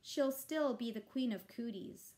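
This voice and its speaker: A woman's voice: fairly high pitch, measured pace, mellow, close to an everyday voice. A woman voicing an exasperated, sarcastic school-age boy, a grumbling older brother in a storybook reading.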